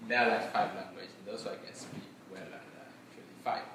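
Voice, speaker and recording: Young man from Congo, Mashi native, earnest and measured, recorded far off in an echoey room. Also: deep voice, steady tone, background noise. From Democratic Republic of Congo. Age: young adult. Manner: earnest, measured, with frequent fillers. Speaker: student presenter. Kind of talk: personal testimony and Q&A.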